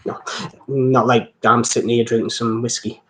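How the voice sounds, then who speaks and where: A man's voice: mid-range voice, flat delivery, normal speed, background noise. A man from north-east England with a Geordie accent, hosting a casual live stream in a rambling, jokey, self-deprecating way as he chats to viewers. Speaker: host of a watch channel live stream.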